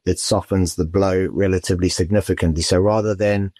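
A man with a very deep voice lays out his points as a mortgage adviser in an interview. His delivery is steady and businesslike. The recording is dry and close. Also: no echo. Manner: measured, explanatory, businesslike. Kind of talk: expert interview answers.

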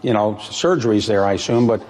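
Deadpan male interviewer, echoing hall. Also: fairly low pitch, a little fast. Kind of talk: live on-stage interview questioning. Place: large indoor event room before an audience.

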